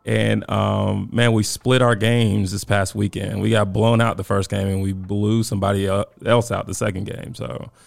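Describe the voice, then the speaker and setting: Hoarse podcast co-host, gravelly low voice, easygoing and laughing, recorded dry and close. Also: male voice, moderate pace, hardly any pauses, no echo. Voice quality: raspy, worn voice. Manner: laughs often, relaxed and chatty. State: hoarse from coaching. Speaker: podcast co-host and youth basketball coach. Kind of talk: casual chat.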